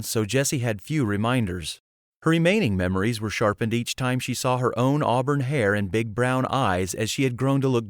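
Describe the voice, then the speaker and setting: A man's voice: mid-range voice, no echo, studio quality. A man reading scripted fantasy storybook narration as an audiobook narrator.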